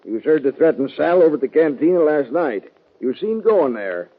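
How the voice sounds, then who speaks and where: A man's voice: on the high side, sing-song, fairly quick, slightly rough. A man playing a hard, hostile town sheriff with a Western American accent, curt and blunt, in 1950s radio western style. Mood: hard, threatening. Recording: tinny vintage 1950 radio recording.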